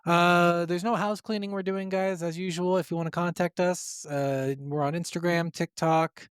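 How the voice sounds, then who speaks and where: Guy podcast host, kinda high voice, sweary casual chat, dry sound. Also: steady tone, normal speed, clean tone, no echo. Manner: profane, informal.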